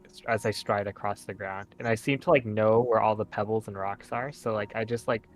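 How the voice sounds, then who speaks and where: A man's voice: lowish voice, steady tone, a little fast, soft background music. A man playing a tabletop roleplay game, casually describing his character's moves and searching for words. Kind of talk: casual improvised game talk.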